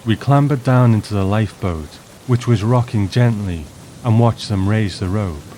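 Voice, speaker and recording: A man's voice: on the low side, moderate pace, warm tone, a little hiss or hum. A man hosting and narrating from a script, reviewing and reading a story aloud. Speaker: host and narrator. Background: music.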